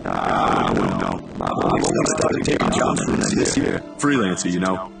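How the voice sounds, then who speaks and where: A man's voice: sits low, wildly swooping pitch, moderate pace, vocal fry, exaggerated voice. A man playing a polite, modest young freelance photographer, hesitant and slightly awkward, in visual novel dialogue. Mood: caught off guard. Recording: roomy echo under loud music.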